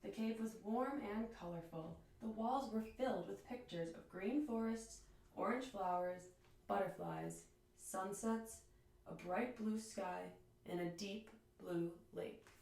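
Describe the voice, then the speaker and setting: A woman reading a children's storybook aloud like a teacher, with expressive, deliberate phrasing. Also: mid-range voice. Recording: echoey room, distant microphone.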